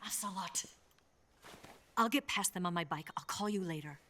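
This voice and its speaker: Coy, low-voiced female spy. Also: moderate pace, bright, forceful tone. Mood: urgent. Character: flirtatious, cool female spy and guide. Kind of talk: cinematic video game acting. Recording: clearly noisy background.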